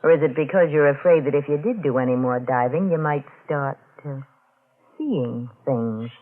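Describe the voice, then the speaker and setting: A woman's voice: sits low, moderate pace, phone-quality sound. A young woman playing a cool, sly, self-assured wife in a 1940s radio drama, silky and pointedly insinuating, on a thin old recording. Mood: sly, threatening. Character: cool, sly young wife, sure of herself, quietly threatening.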